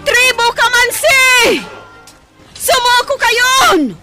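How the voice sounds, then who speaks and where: Actress as fierce warrior, very high, very slow drawn-out shouts, radio drama. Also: female voice, animated, dry recording, soft background music, full character voice.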